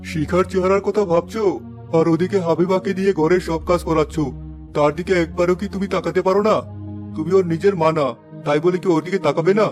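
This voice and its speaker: A man's voice: sits high, steady tone, quick pace, a little mellow, faint music behind, close to an everyday voice. A man voicing a caring, protective middle-aged father in a cartoon, firm with his wife.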